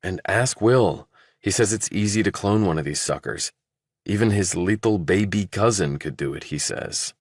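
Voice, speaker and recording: A man acts out a desperate young man suspected of murder, very low in pitch. He is blunt, earnest and insistent, as audiobook dialogue in a dry recording. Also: normal speed, no room sound.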